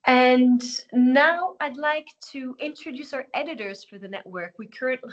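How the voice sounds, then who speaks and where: A woman's voice: fairly high pitch, a bit bright, highs cut off. A woman hosting an online webinar, walking through a website with many fillers and restarts. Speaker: network host presenting the platform.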